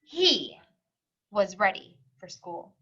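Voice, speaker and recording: A woman reading a storybook aloud to young children, expressive and singsong, pausing to explain and ask questions. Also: medium pitch, breathy edge, highs cut off.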